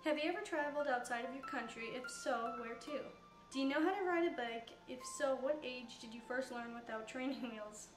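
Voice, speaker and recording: A teenage girl from Canada with a high-pitched voice, chattily answering fan questions in a casual Q&A. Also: brisk, echoey room, music in the background, rough recording. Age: teens. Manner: chatty, rambling with fillers. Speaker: YouTuber answering Q&A. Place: room with couch, recorded in a reacted video.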